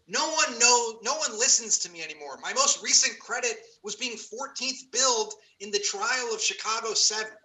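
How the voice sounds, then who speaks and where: A man's voice: very high-pitched, lively intonation, moderate pace, hardly any pauses, pushed and bright, heavily acted, low-quality recording. A man playing a smug, self-pitying Hollywood actor in a comedy table read. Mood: defensive.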